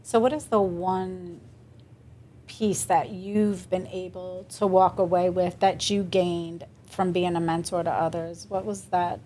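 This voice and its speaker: A woman's voice: lowish voice, little pitch movement, measured pace, mellow, a little hiss or hum. A woman hosting a podcast interview, asking long winding questions and affirming her guest. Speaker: podcast host. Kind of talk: conversational interview.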